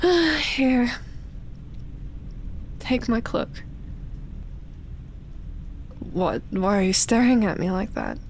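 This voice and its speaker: Girl playing a lonely old vampire lady, asmr roleplay, super slow breathy whispery teasing voice, bit of background noise. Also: a little high, sing-song, exaggerated voice. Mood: gentle, amused. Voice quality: breathy, often dropping into a whisper. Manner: slow, drawn-out, teasing, with lingering pauses.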